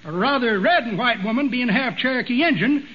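A man's voice: extremely high pitch, expressive, brisk, husky, exaggerated voice. A man playing a folksy old male tall-tale teller in a 1940s radio comedy, drawling and chuckling, on a dull old radio recording. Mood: folksy yarning. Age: older.

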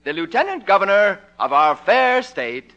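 Dull old radio recording of a pompous small-town mayor in a 1950s comedy. His voice is bright and swings widely, full of self-satisfaction. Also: high voice, very animated, normal speed, exaggerated voice. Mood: formal, proud. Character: self-important small-town mayor, vain and easily deflated.

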